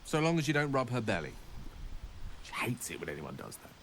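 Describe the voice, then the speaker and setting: An actor playing a wistful, self-deprecating wizard in a video game, with a refined British accent and a theatrical, wordy manner. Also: male voice, medium pitch, sing-song, brisk, fairly bright tone, a little hiss or hum. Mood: flustered, playful. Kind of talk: video game dialogue acting.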